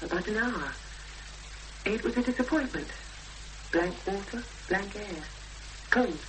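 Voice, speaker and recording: An actress playing an anxious, halting young woman searching for her sister in a 1970s radio drama. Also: mid-range voice, lively intonation, noisy background, natural voice. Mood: bleak. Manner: halting, emotional, reflective.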